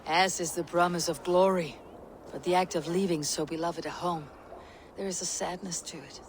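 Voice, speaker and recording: A woman's voice: on the low side, fairly even intonation, moderate pace, slight background noise, close to an everyday voice. A woman acting a fierce, stoic Viking warrior in a game cutscene, with terse, formal speech. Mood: resolved.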